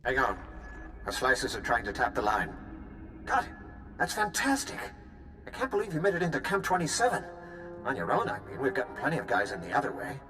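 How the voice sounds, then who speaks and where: Bright, forceful male soldier. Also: mid-range voice, wildly swooping pitch, brisk, long pauses, faint music behind. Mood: impressed, excited. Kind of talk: video game dialogue.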